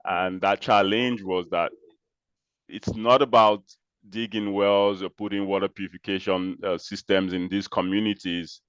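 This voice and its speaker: Deep-voiced Nigerian-born man, measured. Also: fairly even intonation, fairly bright tone, smooth, no echo, lo-fi sound. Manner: measured, explanatory, with frequent pauses and restarts. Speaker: interview guest, social entrepreneur. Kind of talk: conversational interview answers.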